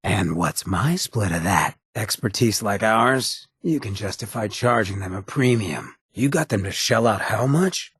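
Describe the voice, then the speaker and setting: A roguish irradiated ghoul from a video game, with an extremely husky, gravel-rough voice on the low side. He drawls slangy, wry remarks with cocky swagger, his pitch sliding around expressively, recorded close and dry. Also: animated, unhurried, few pauses, fairly bright tone, dry recording, exaggerated voice. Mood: cocky, coaxing. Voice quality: very raspy, gravelly. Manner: sly, laid-back, slangy swagger. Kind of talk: video game companion voice lines.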